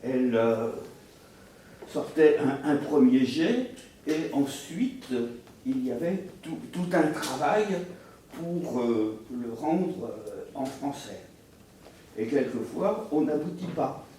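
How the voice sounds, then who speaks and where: A man speaking deliberately and haltingly as a panelist at a round table on literary translation. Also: mid-range voice, animated, warm tone. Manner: deliberate, halting, pausing mid-sentence. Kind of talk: spontaneous round-table talk. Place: reverberant room at a round-table event. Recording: echoey room, distant mic, faint noise.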